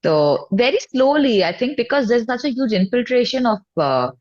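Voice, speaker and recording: A woman's voice: fairly high pitch, very animated, normal speed, darkish tone, dry recording. A woman from Hyderabad with an Indian accent, giving candid, casual and emphatic interview answers as a guest musician. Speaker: guest, indie singer-songwriter. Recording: dull, lo-fi, dry audio.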